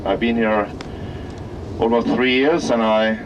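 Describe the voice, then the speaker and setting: A man from Norway with a Norwegian accent, guiding visitors around an industrial site and pausing often to search for English words. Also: mid-range voice, steady tone. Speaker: tour guide at an industrial plant. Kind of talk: guided tour commentary. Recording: distant voice under loud outdoor traffic noise.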